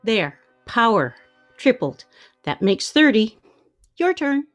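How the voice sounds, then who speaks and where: An actress as a composed, gently firm wife. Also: female voice, a little high, big pitch swings, slow, faint music behind. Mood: reproving. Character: composed wife, gently admonishing her husband. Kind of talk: radio play acting.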